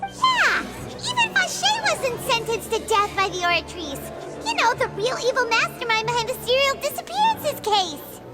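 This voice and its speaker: A woman voicing a small, excitable, childlike floating companion girl in a video game cutscene, with an exclamatory manner. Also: very high-pitched, normal speed, loud music behind the voice. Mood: indignant. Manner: exclamatory, speaks of herself in third person.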